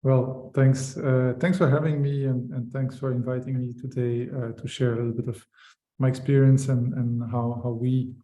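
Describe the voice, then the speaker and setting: Belgian founder, male, mellow, halting delivery with restarts, roomy echo. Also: medium pitch, level tone. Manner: hesitant, many fillers and restarts. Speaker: company founder guest. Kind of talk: casual webinar interview.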